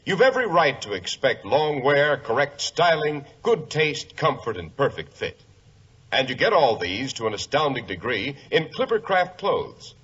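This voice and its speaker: A man's voice: mid-range voice, sing-song, normal speed, fairly bright tone, slightly rough. An American man announcing as a 1940s radio host, with a scripted, polished, emphatic delivery. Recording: dull old radio recording.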